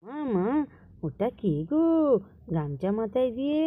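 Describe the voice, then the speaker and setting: A woman's voice: mid-range voice, very animated, a little slow, phone-quality sound. A woman voicing a sleepy young daughter, whiny and full of puzzled questions, in a children's cartoon.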